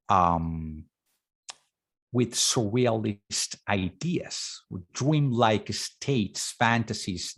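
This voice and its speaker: Lively male art lecturer. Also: medium pitch. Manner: animated, thinking aloud with fillers and self-corrections. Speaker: art talk presenter.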